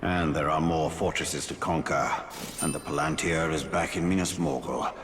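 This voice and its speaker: An actor playing a grave, calculating spirit lord in a video game, measured and solemn with a gravelly voice. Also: male voice, rumbling low, fairly even intonation, a bit bright. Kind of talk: video game character acting. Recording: long hall reverb, faint noise.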